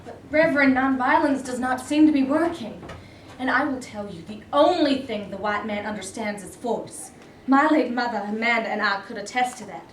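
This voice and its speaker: A woman's voice: fairly high pitch, normal speed, lightly acted. A woman reading the part of a defiant young activist who speaks with passion. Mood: impassioned. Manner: defiant and impassioned. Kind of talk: staged reading. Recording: echoey room, read from far off, noisy.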